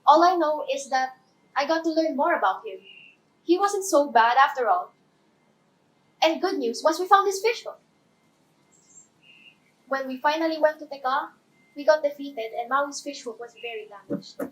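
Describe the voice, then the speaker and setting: A woman's voice: high voice, lively intonation, moderate pace, long pauses, rough recording. A woman playing a cheerful, curious young island heroine in a chatty, theatrical first-person monologue. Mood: animated, chatty.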